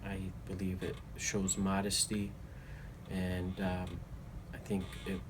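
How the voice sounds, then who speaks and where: Man, 30s, Ohio-raised interviewee: very low, monotone, measured and earnest, noisy recording. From Toledo, Ohio, US. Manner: measured, earnest, some hesitations. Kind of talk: reflective interview answers. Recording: steady background noise.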